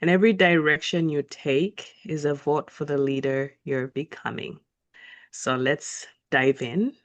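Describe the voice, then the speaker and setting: Woman interviewer, unhurried and declarative, dry close recording. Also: low voice, steady tone, no echo. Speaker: host. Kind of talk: interview questions, partly scripted intro.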